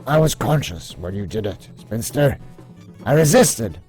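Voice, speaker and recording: A man voicing an earnest, wistful human military paperwork officer, deadpan and matter-of-fact, in an amateur comic dub. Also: mid-range voice, measured pace, soft background music, close to an everyday voice. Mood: dry, deadpan.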